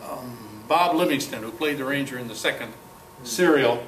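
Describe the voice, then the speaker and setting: A man giving an informal, halting, jokey show-and-tell talk to a club meeting, in a folksy way. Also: medium pitch, fairly bright tone. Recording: echoey room, distant mic, clear background noise.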